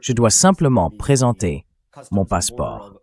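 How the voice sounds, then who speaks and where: A man reading a translated political interview as a voice-over, in a measured, explanatory way. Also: fairly low pitch, big pitch swings, creaky, a little mellow.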